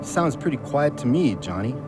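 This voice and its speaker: A man's voice: medium pitch, very animated, moderate pace, over loud music, lightly acted. A man playing a joking, loyal hunting buddy who grows wary, in an audio drama. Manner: teasing, colloquial.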